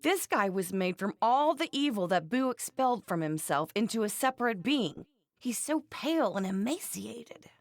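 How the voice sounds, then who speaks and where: A woman playing a prim, doting mother in a video game, with an expressive, fussy and emphatic way of talking. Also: medium pitch, moderate pace, dry recording. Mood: puzzled. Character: prim, doting mother, indignant about threats to her sons. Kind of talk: animated video game character dialogue.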